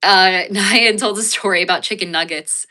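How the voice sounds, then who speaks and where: A woman's voice: medium pitch, normal speed, sharp tone. An American woman livestreaming and chatting with her viewers, rambling and swearing casually, with a sniffly, congested voice. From United States. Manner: rambling, swears freely, many fillers and restarts. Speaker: livestreamer reading chat. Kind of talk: casual chat.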